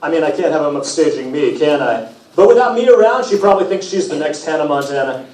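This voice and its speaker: A vain, self-important music god from a storybook, acted out by a man, bossy and slangy, with a raised, very animated voice. It sounds roomy and distant. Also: a little high, quick pace, close to an everyday voice. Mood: breezy, self-important. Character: vain, breezy, demanding Greek god of music. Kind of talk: storybook character read aloud. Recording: roomy, distant, noisy event recording.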